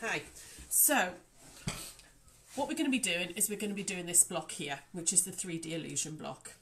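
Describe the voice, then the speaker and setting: A woman's voice: lowish voice, moderate pace, noisy background. A middle-aged woman with a slightly snuffly, nasal voice, chatting casually to viewers as a craft tutor on a livestream from her dining room. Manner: chatty, greeting viewers by name with endearments. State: snuffly from allergies. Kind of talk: casual live chat to viewers. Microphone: phone on livestream.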